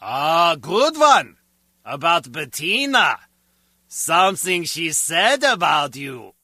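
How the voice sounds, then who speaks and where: Cranky sarcastic game scientist, pretty high forceful voice, super dry sound. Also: male voice, very animated, takes it slowly, no echo, full character voice. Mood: coaxing. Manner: testy, sarcastic. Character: exasperated male scientist bickering with his colleague. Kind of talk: animated video game acting.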